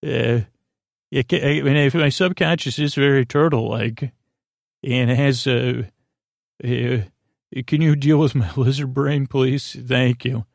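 A man with a creaky voice hosting a sleep podcast, rambling whimsically in a halting, meandering monologue. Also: mid-range voice, fairly even intonation, dry recording, studio quality. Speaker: podcast host.

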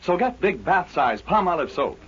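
A man's voice: high voice, sing-song, normal speed, a bit bright, slightly rough. A man reading a 1940s radio advertisement. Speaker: commercial announcer. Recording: dull vintage radio recording.

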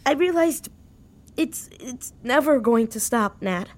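Actress doing a scared grieving young woman for an audio drama, pretty high voice, stumbles over her words. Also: animated. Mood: distraught. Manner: halting, repeats words when upset. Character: anxious, grief-stricken young woman terrified since a family tragedy. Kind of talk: naturalistic audio drama acting.

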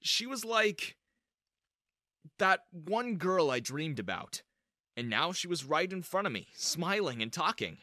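A man playing an awkward, smitten high school boy, eagerly narrating his own story in a scripted first-person style. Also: unhurried, no echo, clean studio sound, full character voice. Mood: bashful, excited. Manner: eager, animated.

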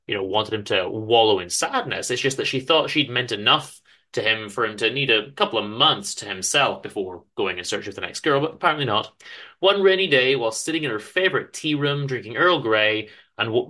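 A man giving a dramatic, expressive reading of fiction, with a theatrical storytelling manner. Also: medium pitch. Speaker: author and reader of a story.

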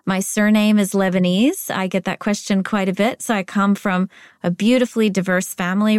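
A middle-aged woman with an American accent speaks as a company executive in a podcast interview. She talks in a measured, deliberate way and slows down to lean on key words. Her voice sits at a middle pitch, and the recording is dry and close. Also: clear, resonant tone, dry recording. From Spain. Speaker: corporate chief integrity officer.

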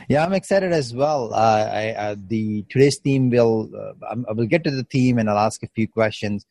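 A man hosting an interview podcast, polite and halting with many fillers. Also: on the low side, creaky, a little mellow, dry recording. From Canada. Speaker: podcast host.

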